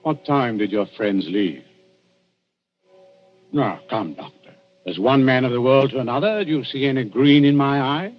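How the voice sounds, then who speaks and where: A male actor plays a sly police inspector, genial on the surface and needling underneath. His middle-pitched voice moves expressively and repeats itself with folksy politeness. It comes through a muffled 1940s radio broadcast with faint music. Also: lively intonation, normal speed, pauses often, close to an everyday voice. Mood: slyly menacing. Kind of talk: 1940s radio drama acting.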